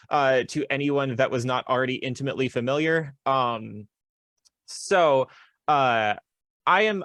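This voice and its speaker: A young man hosting a casual podcast, relaxed and chatty with lots of filler words. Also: mid-range voice, no echo, clean studio sound. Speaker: podcast host.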